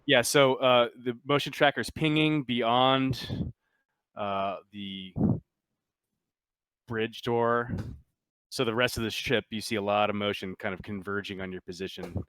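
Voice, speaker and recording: A man acts as game master for a tabletop roleplaying session. His voice sits on the low side and is smooth and clear, in a dry recording. He talks casually, with some hesitation as he works through rules and scenes. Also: steady tone, unhurried, fairly bright tone, dry recording.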